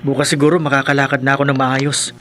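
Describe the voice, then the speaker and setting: A man's voice: mid-range voice, little pitch movement, quick pace, pushed and bright, close to an everyday voice. A man playing a supportive young friend in a horror radio drama, conversational and earnest. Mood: concerned.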